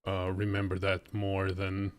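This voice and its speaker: A man's voice: low-pitched, completely flat delivery, a little slow. A man in his late thirties from Croatia, chatting casually and a little hesitantly on a livestream at his desk. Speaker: livestreamer learning game development. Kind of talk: casual livestream chat while working. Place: indoor room at a desk. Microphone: close desk microphone on an arm.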